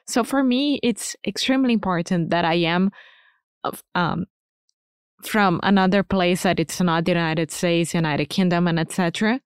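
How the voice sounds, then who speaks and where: A woman's voice: fairly low pitch, normal speed, clean tone, no room sound, clean studio sound. A woman from Rio de Janeiro with a Brazilian Portuguese accent, a native Portuguese speaker co-hosting a podcast in an emphatic, upbeat way. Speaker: podcast co-host. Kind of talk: casual educational podcast chat.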